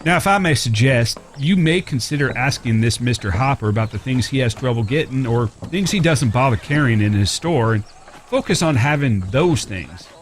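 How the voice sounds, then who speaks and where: A man acting in an audio drama as a courteous, smitten Old West drifter with a rough past, speaking folksy, polite lines in an American Western accent. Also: medium pitch, lively intonation, moderate pace, slight background noise, natural voice. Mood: advising. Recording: clear background ambience with animal sounds.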